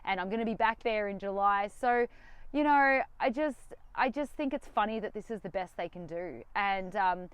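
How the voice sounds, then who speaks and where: A young woman, talking straight to camera from her van in a defiant, animated, sarcastic way. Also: mid-range voice, moderate pace, clear, resonant tone, no room sound. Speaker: vlogger. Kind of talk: casual direct-to-camera talk. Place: inside a van.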